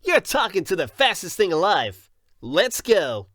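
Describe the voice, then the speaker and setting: A man's voice: high-pitched, very animated, a little slow, a bit bright, no echo, studio quality, heavily acted. A man playing a young cartoon hedgehog hero in an audio drama, cocky but weakened and weary. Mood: urgent, cocky.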